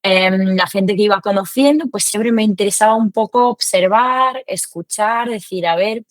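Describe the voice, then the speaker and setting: A woman's voice: medium pitch, moderate pace, no room sound, clean studio sound. A young adult woman, a Spanish learner whose first language is something else, talking as an interview guest. She rambles casually with many fillers. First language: not Spanish. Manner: chatty, hesitant, many fillers.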